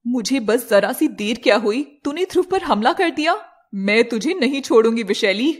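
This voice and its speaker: Casting: fierce elder serpent sister played by a woman, high-pitched, commanding and dramatic, cartoon fairy tale. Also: lively intonation, moderate pace. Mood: furious.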